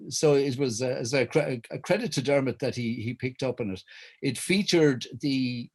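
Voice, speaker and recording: A middle-aged or older Irish man telling wry anecdotes as a guest, in a hesitant, halting manner. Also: medium pitch, darkish tone. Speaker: guest, writer and director. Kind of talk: anecdotal reminiscence in a public conversation.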